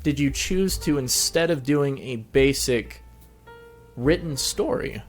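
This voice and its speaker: A male host chats casually with a guest in a voice of ordinary pitch. His delivery is informal and agreeable, and music plays behind him. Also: lively intonation, slow pace. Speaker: show host and interviewer. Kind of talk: casual interview chat. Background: background music.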